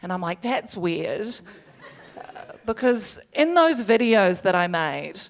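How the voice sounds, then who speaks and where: Woman, New Zealand accent, stand-up comedian, quick asides, medium pitch. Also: very animated, creaky. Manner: chatty, self-deprecating, quick asides with laughter. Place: comedy stage before a live audience.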